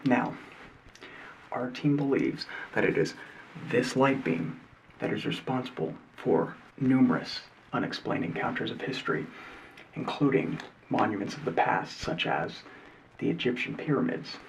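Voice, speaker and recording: A man's voice: medium pitch, expressive, measured pace, gravelly, far from the mic, slight background noise, poor audio. Man doing a whispery 1950s TV host. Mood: earnest. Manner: mostly unvoiced whispering, old-fashioned host patter. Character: earnest male 1950s TV presenter, folksy and sure. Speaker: ASMR creator playing a 1950s TV host. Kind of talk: soft-spoken ASMR roleplay of a 1950s talk show.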